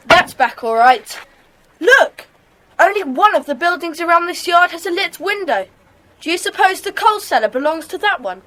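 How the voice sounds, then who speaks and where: A woman's voice: very high-pitched, animated, moderate pace, sharp tone, a little hiss or hum, full character voice. A woman playing a keen, observant, eager schoolboy in a children's detective audio drama.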